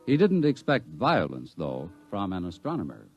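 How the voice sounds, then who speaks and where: A man's voice: sits low, wildly swooping pitch, moderate pace, a little mellow, husky, lo-fi sound. A man narrating a radio drama in a dramatic, scripted storytelling style. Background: faint music.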